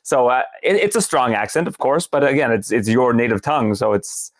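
A man from Canada with a Canadian accent, a guest on a podcast, speaking in a measured, analytical way. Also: mid-range voice, expressive, dry recording, studio quality. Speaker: podcast guest, sports journalist. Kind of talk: casual podcast chat.

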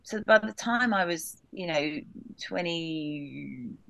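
A woman in her thirties recalling family loss in an interview, halting with many restarts, now and then laughing through it. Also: sits low, animated, gravelly, a bit bright, dry recording, rough recording. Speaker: podcast guest. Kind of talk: candid emotional interview.

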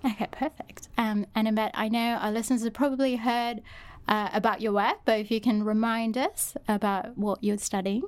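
Brief: female podcast host, smooth resonant voice, friendly and measured. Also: on the high side, steady tone. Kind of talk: podcast interview.

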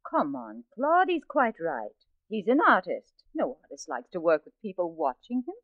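A woman's voice: fairly low pitch, wildly swooping pitch, moderate pace, no echo. A woman playing a poised, genteel society wife of a swindler, arch in manner, in a 1940s radio drama. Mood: arch, smooth. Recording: muffled 1940s radio recording.

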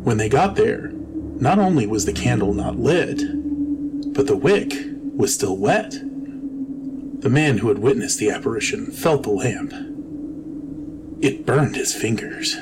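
A man's voice: medium pitch, lively intonation, measured pace, pauses often, background noise. A man telling a scripted story as narration.

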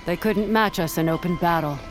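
A woman's voice: on the low side, brisk, natural voice. A woman playing a steadfast sworn bodyguard to a queen in an audio drama, formal and deferential. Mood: solemn, devoted. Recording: dramatic music behind the voice.

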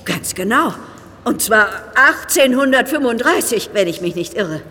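A woman's voice: on the high side, animated, normal speed, pushed and bright, a little hiss or hum. A woman playing a curious, knowledgeable boss of mine workers in a children's audio drama, given to exclamations. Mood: amazed, curious. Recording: long cavernous reverb, music behind.

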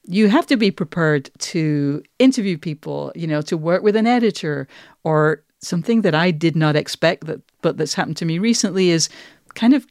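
A woman's voice: lowish voice, expressive, moderate pace, darkish tone, no room sound, clean studio sound. A woman hosting a podcast, giving thoughtful conversational commentary in articulate, considered phrases. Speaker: podcast host and writer.

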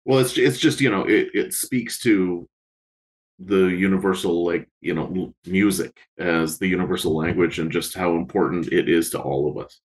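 Affable low-pitched male co-host. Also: moderate pace. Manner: affable, laughs easily, agreeing fillers. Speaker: podcast co-host. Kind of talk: casual interview chat.

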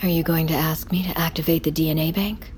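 Slightly low in pitch, an actress plays a guarded woman survivor. She sounds suspicious, in audio drama style, over faint ambient noise. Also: fairly even intonation, fast talker, a little mellow, close to an everyday voice. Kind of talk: audio fiction drama acting.

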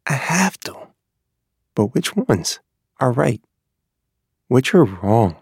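A confused young boy tells his story in an audiobook, read by a man. The voice has a gravelly edge, and the booth sound is close and dry. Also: medium pitch, big pitch swings, takes it slowly, dry recording, full character voice. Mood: troubled. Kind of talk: audiobook reading.

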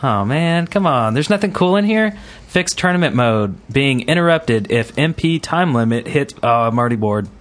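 A man's voice: medium pitch, animated, moderate pace, hardly any pauses. Jokey, rambling male podcast host. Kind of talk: casual gaming podcast chat.

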